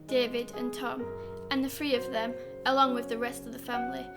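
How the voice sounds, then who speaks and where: A woman reading a eulogy as narration, careful and even. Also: on the high side, brisk, gravelly. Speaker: great-granddaughter reading a eulogy. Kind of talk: scripted narration. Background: music.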